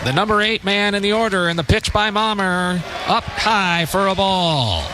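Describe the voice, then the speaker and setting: A man calling live baseball play-by-play on the radio in measured, clipped phrases with pauses between them. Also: sits high, noisy background. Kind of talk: live radio sports commentary.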